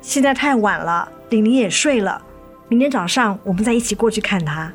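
一位女演员在广播剧中饰演操心又疲惫、爱叮咛的母亲。. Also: female voice, medium pitch, animated, brisk, soft background music, lightly acted. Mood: sorrowful. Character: worried, weary, nagging mother of two daughters. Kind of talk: radio drama acting.

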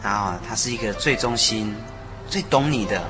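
A man's voice: lowish voice, normal speed. A man speaking as a songwriter guest in an interview, explaining casually and earnestly, repeating phrases for emphasis. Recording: music behind the voice. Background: music.

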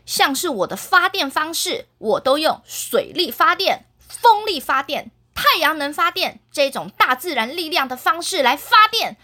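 A woman voicing a boastful male green-energy superhero in a children's story, stubborn and quick to argue. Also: high-pitched, sing-song, bright, forceful tone, full character voice.

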